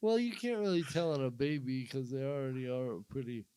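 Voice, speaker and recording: A man hosts a casual podcast, cracking self-mocking jokes and stumbling over his words now and then. His voice sits at an ordinary middle pitch. The recording is close and dry, with no room sound. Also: mellow, smooth, dry recording. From Minnesota. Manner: joking, self-mocking, halting. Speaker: comedian and podcast host. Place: studio.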